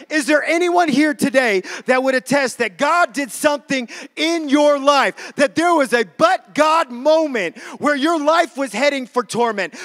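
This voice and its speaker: A man's voice: very high-pitched, a bit bright. A man preaching a church sermon in an informal, conversational way, repeating phrases for emphasis. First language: not English (says English is not his first language).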